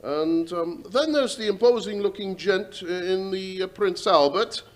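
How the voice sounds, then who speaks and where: An actor playing a plodding, earnest official in an old-time radio drama, reporting with hesitant pauses. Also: male voice, sits high. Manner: hesitant, stammering while reporting details.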